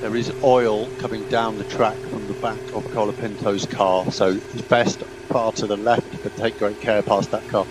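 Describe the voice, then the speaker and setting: A man's voice: fairly low pitch, little pitch movement, moderate pace, hardly any pauses. A man working as a race engineer, giving clipped, repeated instructions over motorsport team radio. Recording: noisy car team radio.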